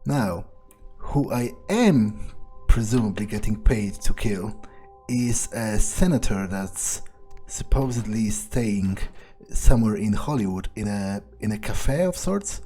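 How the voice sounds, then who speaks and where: Mellow-voiced man musing over a game. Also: on the low side, expressive, slow, faint music behind. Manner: hesitant, musing, understated. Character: calculating, detached vampire mercenary for hire. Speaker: player voicing their character in a let's play. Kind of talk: in-character gameplay commentary, thinking aloud.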